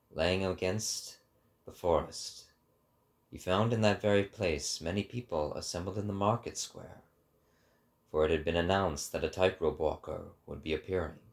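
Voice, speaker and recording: Male narrator, slow storytelling delivery. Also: sits low. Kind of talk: scripted dramatic narration.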